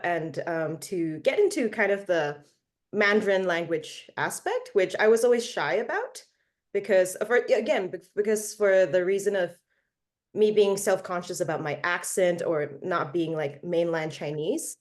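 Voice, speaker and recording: A young woman from Malaysia, talking casually as an interview guest. Her voice sits a little low, and she hedges and restarts her sentences, filling the gaps with "um" and "yeah". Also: a little slow. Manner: hesitant, many fillers and restarts, laughs often. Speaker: interview guest, singer-songwriter.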